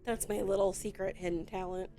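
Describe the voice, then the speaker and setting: A Midwestern woman with a Chicago accent, chatting in a rambling, relaxed way while she builds a toy set on stream. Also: medium pitch, soft background music. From Midwestern United States. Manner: chatty, rambling, slow and drawn out, with frequent fillers.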